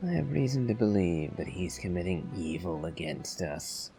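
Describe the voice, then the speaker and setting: A man giving low-key video game playthrough commentary, musing in a measured, wry way. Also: big pitch swings, vocal fry, warm tone. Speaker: gamer playing and voicing reactions. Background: game music.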